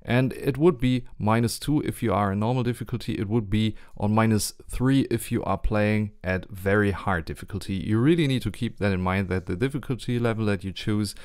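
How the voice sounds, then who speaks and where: A man's voice: fairly low pitch, a little fast, hardly any pauses, darkish tone, clear, resonant tone, no room sound, clean studio sound. A man with a German accent, not a native English speaker, narrating a strategy game tutorial carefully, with frequent ums and restarts.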